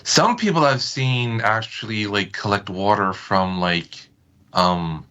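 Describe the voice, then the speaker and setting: A man's voice: fairly low pitch, slow pace, bright, forceful tone, smooth, muffled recording. A man as a podcast guest, explaining things in casual, loosely phrased chat with tentative wording.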